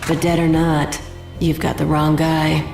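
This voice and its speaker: An actress playing a tough professional killer in a noir video game, cool, clipped and sardonic. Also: female voice, sits low, completely flat delivery, measured pace, gravelly. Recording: roomy echo, music behind.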